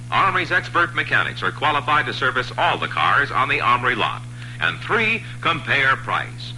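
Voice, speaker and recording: A man reads a radio car ad in a very bright, forceful voice that swings widely up and down. He hits each selling point with punchy emphasis, and a faint hiss sits behind him. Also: medium pitch, low-quality recording. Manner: punchy, emphatic salesman delivery, stressing each rule. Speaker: radio commercial announcer. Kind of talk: scripted radio ad read.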